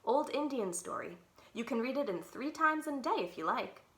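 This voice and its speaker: Kindly male book lender for a storybook, read by a woman. Eager, encouraging, raised bright voice. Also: high voice, animated, moderate pace, pitched above the performer's own voice. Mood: gentle, wise.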